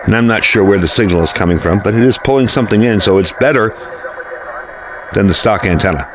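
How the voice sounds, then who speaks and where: Low-voiced American man reviewing gear. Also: brisk, noisy background. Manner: informal, explanatory. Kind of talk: casual product review. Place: indoor workbench, later outdoors by the house.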